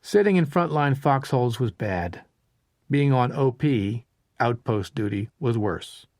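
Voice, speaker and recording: A man narrating a history audiobook in a measured, even way. His voice sits at a middle pitch for a man. The recording is dry and close, with no room sound. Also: no room sound. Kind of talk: scripted narration of a history book.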